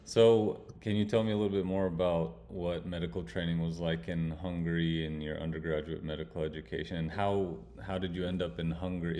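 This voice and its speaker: Very deep, flat-voiced young man. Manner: halting, many fillers and restarts. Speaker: medical student host. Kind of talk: interview host.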